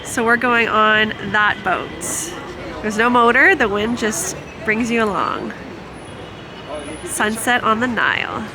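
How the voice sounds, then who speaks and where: A woman's voice: on the high side, lively intonation, slow, crowd noise, poor audio. A woman from Canada, an upbeat travel vlogger chatting casually to her camera while on the go. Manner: bubbly, upbeat, laughs often. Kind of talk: casual vlog chat. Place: outdoors and on the go in a busy city.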